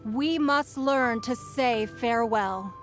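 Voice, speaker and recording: A stately city leader. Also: female voice, fairly high pitch, faint music behind. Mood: solemn. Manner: measured and stately. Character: dignified woman leader addressing her people. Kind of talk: formal game speech.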